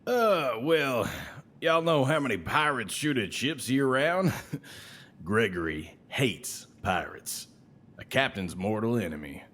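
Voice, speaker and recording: A man's voice: medium pitch, expressive, takes it slowly, fairly bright tone. An actor playing a cocky, menacing salesman and trained killer in an audio drama. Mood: cocky, relishing. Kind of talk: podcast audio drama acting.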